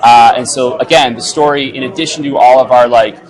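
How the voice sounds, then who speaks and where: Mid-pitched man as interview guest. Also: fairly even intonation, a little fast, rough recording. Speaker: comics writer and film director, interview guest. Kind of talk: casual interview announcement. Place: live at a comics convention.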